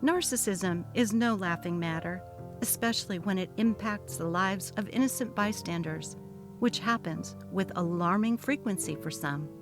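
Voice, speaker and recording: A woman narrating a short story as a dramatic, gossipy reading, expressive in her delivery. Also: medium pitch, normal speed, over music.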